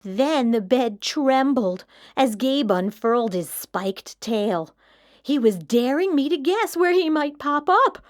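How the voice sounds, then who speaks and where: A woman storyteller giving an expressive children's read-aloud narration. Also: sits high, slow.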